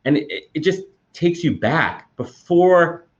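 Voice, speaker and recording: A man's voice: a little high, animated, muffled recording. A man hosting a podcast, a little congested from a cold, talking eagerly and repeating words as he goes. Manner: rapid, enthusiastic, with frequent repeated words. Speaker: podcast host. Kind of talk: conversational interview.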